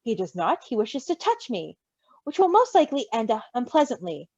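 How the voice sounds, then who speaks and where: A woman's voice: a little high, very animated, fairly quick, a little mellow, slightly rough, no echo, lo-fi sound, heavily acted. Woman voicing a prim, haughty male crow. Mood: prim, indignant. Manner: formal, precise, haughty wording. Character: prim, stiff male crow, easily ruffled, secretly fond.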